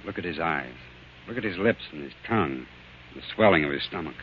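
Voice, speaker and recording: An actor playing a calm, observant, quietly forgiving doctor in 1940s radio drama style. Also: male voice, rumbling low, a little fast. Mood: calm, probing. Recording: muffled, noisy old radio recording.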